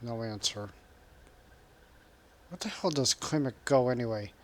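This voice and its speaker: A man's voice: medium pitch, lively intonation, a little slow. A man streaming a video game from home, chatting casually, reading out chat messages and laughing often. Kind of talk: casual live-stream chat over gameplay.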